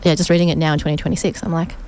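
A woman's voice: sits low, level tone, racing, darkish tone, slight background noise. A woman from Sydney, a guest on a community radio show, chatting in a thoughtful way with many fillers. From Australia, Sydney. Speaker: studio guest. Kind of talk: casual radio interview.

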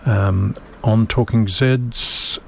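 A male community radio host with a gravelly, lowish voice. He talks in a loose, rambling, wry way, full of ums and asides. Faint noise sits under the studio recording. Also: steady tone, drawn-out words. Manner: rambling, wry, with frequent fillers. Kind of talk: casual radio interview.

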